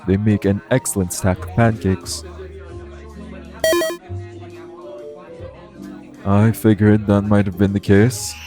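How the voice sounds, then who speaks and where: A man playing a calm, protective guardian angel in an audio roleplay, speaking in a formal, measured, earnest way. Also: on the low side, music in the background, close to an everyday voice. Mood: polite, reassuring. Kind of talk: audio roleplay acting, addressed to the listener.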